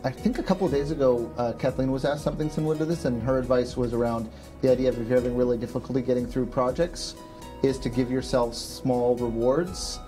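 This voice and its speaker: A male livestream panelist speaks in a dark, relaxed tone. He gives encouraging advice in a casual, conversational way. Music plays faintly behind him. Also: medium pitch, quick pace. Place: indoor livestream studio, on a couch.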